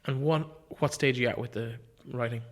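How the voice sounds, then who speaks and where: A man's voice: medium pitch, steady tone, brisk. A man hosting a conversational interview, putting informal questions to his guest. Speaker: interviewer, podcast host.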